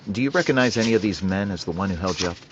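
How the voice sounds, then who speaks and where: An actor playing a police detective in a 1940s-style radio drama, asking questions in a level, matter-of-fact way. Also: male voice, low voice, animated, rapid-fire, lo-fi sound, noisy background. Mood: businesslike. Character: calm male police detective, businesslike.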